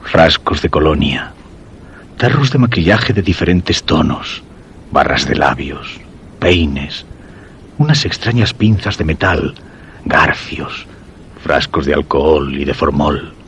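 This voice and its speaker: A man's voice: extremely low pitch, expressive, slow pace, creaky, pauses often, a bit bright, a little hiss or hum, full character voice. An actor from a suspense radio drama playing a terrified husband recounting his ordeal, in a Spanish accent from Spain, over music. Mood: horrified. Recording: music behind the voice.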